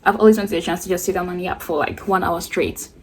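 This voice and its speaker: A woman's voice: lowish voice, fast, husky. Rambling, chatty teenage girl vlogger. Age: late teens. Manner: rambling, chatty, self-described yapping. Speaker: beauty vlogger. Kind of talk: get-ready-with-me chat while doing makeup.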